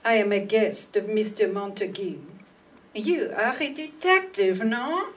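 A woman's voice: medium pitch, unhurried, lightly acted. Woman as a coy cabaret dancer, distant mic. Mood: coy, flirtatious. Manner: coy, foreign phrasing. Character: flirtatious, mysterious French female cabaret dancer. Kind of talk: old-time radio acting. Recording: distant room microphone.

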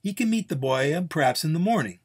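A man's voice: fairly high pitch, animated, quick pace, husky, pitched above the performer's own voice. A man voicing a polite, timid go-between in a Western story, formal, careful and placating. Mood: nervous, courteous.

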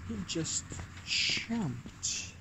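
A man vlogging about paranormal events, talking in a hushed, hesitant way with many fillers and restarts. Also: extremely slow. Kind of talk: casual vlog talk. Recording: loud outdoor background noise.

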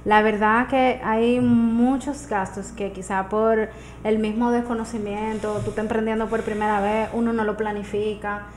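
A woman's voice: fairly high pitch, level tone, normal speed. A woman in her thirties with a Dominican accent, explaining things conversationally as a guest in a casual radio interview. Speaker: guest entrepreneur. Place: small radio studio, indoors. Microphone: studio microphone on arm. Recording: clear background noise.

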